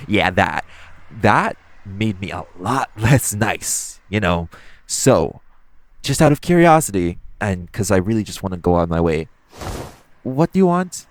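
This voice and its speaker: A flirty supervillain thief, acted by a man with a low-pitched voice. He talks straight to the listener in a casual, teasing audio-roleplay style. His delivery is self-assured yet conversational, broken by halting pauses. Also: big pitch swings, soft background music, heavily acted. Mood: guarded, playful. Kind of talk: intimate second-person audio roleplay, conversational acting.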